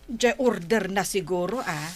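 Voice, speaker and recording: A woman's voice: mid-range voice, a little slow, lightly acted. A woman playing a meddling village neighbor in an Ilocano comedy drama, exclamatory and scolding, with an Ilocano accent. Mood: wary. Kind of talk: Ilocano comedy radio-style drama acting.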